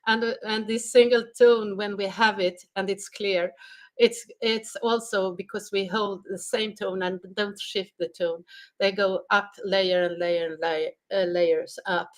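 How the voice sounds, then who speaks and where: Slow, halting woman guest. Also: medium pitch, steady tone. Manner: slow, halting, searching for words. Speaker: guest, sound healer. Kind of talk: conversational interview answers.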